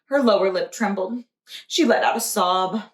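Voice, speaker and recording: A woman's voice: medium pitch, moderate pace. A woman, a preschool teacher, reading a children's storybook aloud. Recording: echoey room.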